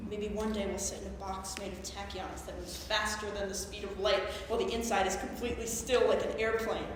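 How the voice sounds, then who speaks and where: A young woman on stage playing an earnest, geeky woman obsessed with physics in an animated, wistful monologue. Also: mid-range voice, a little fast, lightly acted. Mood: explanatory. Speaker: actor in a staged play reading. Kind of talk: theatrical monologue, explanatory. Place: live staged reading at a conference. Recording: very echoey hall, faint noise.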